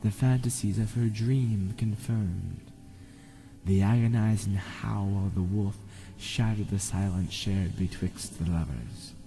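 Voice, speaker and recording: A man reading scripted narration for a melodramatic audio drama in a deliberate, dramatic storytelling manner. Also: low-pitched, dark tone, rough recording.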